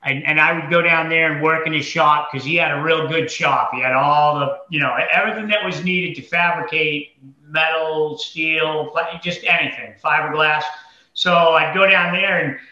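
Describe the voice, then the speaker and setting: Male interview guest, Massachusetts accent, very bright and tense tone, colloquial storyteller, heard through dull, echoey audio. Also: fairly high pitch, steady tone, normal speed. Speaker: entrepreneur guest. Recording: dull lo-fi, roomy echo.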